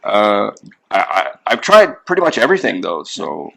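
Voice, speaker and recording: A man's voice: fairly low pitch, huge pitch swings, moderate pace, vocal fry, a bit bright. A man in his fifties, from Canada, chatting casually as a dinner guest with short, agreeable replies. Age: 50s. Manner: easygoing, brief agreeable replies. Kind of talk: casual chat over a meal.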